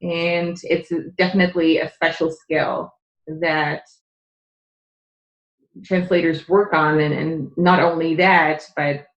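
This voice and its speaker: A woman with a fairly low voice speaks as a podcast guest, earnestly explaining her point and filling pauses with "you know" and "um." Her first language is Czech. The audio sounds roomy and a little muffled. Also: level tone. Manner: earnest, explanatory, with frequent fillers. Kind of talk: conversational interview.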